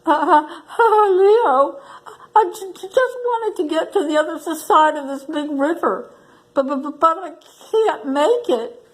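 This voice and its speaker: A woman's voice: extremely high pitch, unhurried, pitched above the performer's own voice, rough recording. A woman voicing a small frightened storybook mouse that stutters and whimpers hesitantly. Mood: scared.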